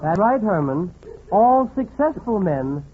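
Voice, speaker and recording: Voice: mid-range voice, very animated, slow pace, a little hiss or hum. An actor playing a wry, scolding commentator in 1940s radio comedy, sardonic and admonishing. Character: wry scolding commentator chiding a domineering wife. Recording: muffled 1940s radio recording.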